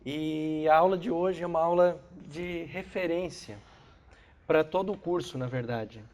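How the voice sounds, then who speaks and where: Man, Brazilian accent, higher-than-average pitch, congested from a cold, classroom lecturer, explanatory, frequent check-in tags. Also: unhurried, a little mellow. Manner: didactic, checks in with short tag questions. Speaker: astrophysics lecturer.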